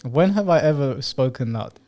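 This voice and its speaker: A young man talking in a relaxed, joking way, needling the friend beside him between laughs. His voice sits at an ordinary middle pitch for a man, and his delivery stays unhurried and conversational. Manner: laid-back, teasing, laughs often. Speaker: co-host of a comedy channel. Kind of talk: casual bickering chat to camera.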